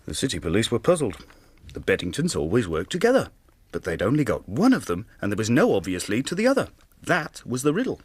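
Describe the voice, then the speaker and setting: A brisk Victorian police inspector with an English accent. His mid-pitched voice is lively and official, with deliberate phrasing. The radio drama sound is dry and close. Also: breathy edge, dry recording. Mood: satisfied. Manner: official, deliberate, report-like delivery.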